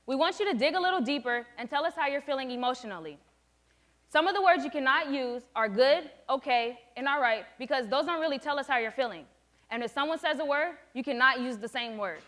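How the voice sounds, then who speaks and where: A late-teen young woman with a notably high voice presents her project and plays a group facilitator. She explains things firmly, in a lecturing, no-nonsense way. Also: animated, brisk, a bit bright. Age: late teens. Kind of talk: student presentation with a scripted role-play.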